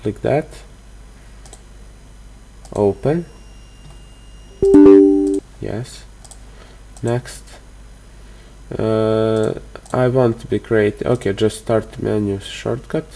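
A man narrating a screen-recorded software tutorial, halting and hesitant with many fillers. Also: lowish voice, creaky, background noise. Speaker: tutorial host.

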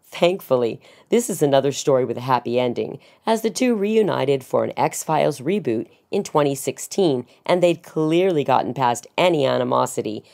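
A woman's voice: a bass voice, gravelly, a little mellow. A middle-aged woman reading scripted celebrity news as a voice-over narrator.

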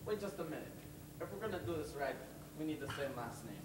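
Stage play, hesitant teen male orphan, acted by a young man: lightish pitch, echoey, very noisy. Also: lively intonation, fast talker, natural voice. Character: downbeat, hesitant teenage orphan boy, the follower. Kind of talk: stage acting. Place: theatre stage, far camera. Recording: distant echoey stage, heavy noise.

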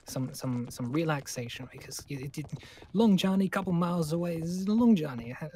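A man's voice: a little high, lively intonation, a little fast, dark tone, a little hiss or hum, natural voice. A man playing a nervous, friendly boy in an improvised game roleplay, stammering and repeating words in bursts. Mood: anxious, eager. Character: nervous, eager, friendly lone boy living in abandoned school.

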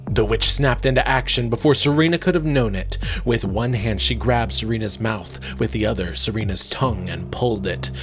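A man in his thirties narrating a fairy tale in verse with dramatic delivery. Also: fairly low pitch. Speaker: storyteller. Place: small book-lined room, indoors. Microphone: close desk microphone.

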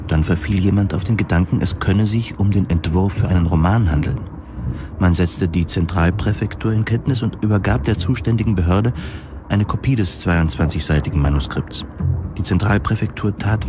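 An actor playing a troubled government reviewer in a dystopian future, narrating a science fiction audio drama in a measured, introspective way. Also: male voice, low voice, background noise, lightly acted. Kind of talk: scripted first-person audio drama narration. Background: music.

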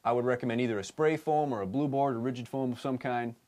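A man's voice: mid-range voice, fast. Man explaining a home energy audit. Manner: relaxed explanatory, many fillers. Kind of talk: explaining on-site home inspection. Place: basement crawl space and attic of a house.

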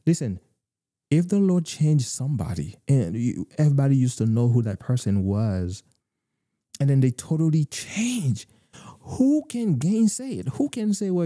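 A young man hosting a live faith talk show over a video call, preaching earnestly with many filler pauses, on a studio microphone. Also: on the low side, warm tone, dry recording. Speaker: host. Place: home room, video call. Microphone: studio mic with headphones.

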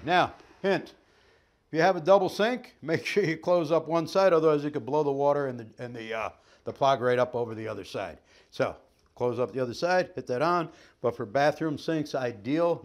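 Middle-aged male sales trainer, slightly high voice, folksy and upbeat demo patter, living room set. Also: a bit bright. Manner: folksy, upbeat, jokey patter while handling gear. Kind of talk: product demonstration.

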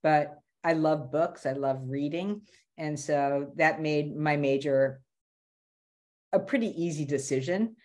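A middle-aged woman from the Boston area, a career strategist talking casually as a guest on a video call, animated and restarting her words. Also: low-pitched, takes it slowly. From Boston area, USA. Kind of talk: casual interview talk. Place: home room with shelves.

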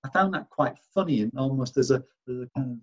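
A man's voice: mid-range voice, animated, fast, breathy edge, muffled recording. A man giving an artist talk over slides, hesitant and full of restarts and fillers. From Wales.

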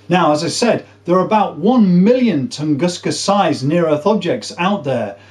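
A man in his forties explaining science to camera as a presenter, with emphatic, drawn-out stress on key words. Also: high-pitched, darkish tone. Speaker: presenter of a space science video series. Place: small indoor room.

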